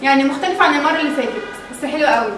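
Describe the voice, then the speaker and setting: A woman's voice: sits high, racing, fairly bright tone. A young Egyptian woman chatting casually to camera and to children, exclaiming and repeating herself, with an Egyptian Arabic accent. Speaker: host of a family toy-unboxing vlog, mother. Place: tiled living room at home, indoors. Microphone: far camera. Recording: very echoey, distant mic, noisy room.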